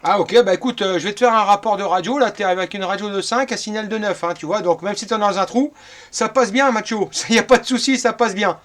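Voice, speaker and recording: A man's voice: sits high, fairly quick, a bit bright. A man in his fifties from northern France, chatting casually and at length as a radio operator, often repeating his words. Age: 50s. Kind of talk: casual radio contact chat.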